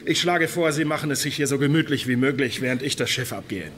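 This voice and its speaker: An actor playing an officious ship's first officer in a German radio crime drama, formal and firm in manner. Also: male voice, medium pitch, fast talker, a bit bright, slight background noise, close to an everyday voice. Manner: formal, procedural, polite but firm.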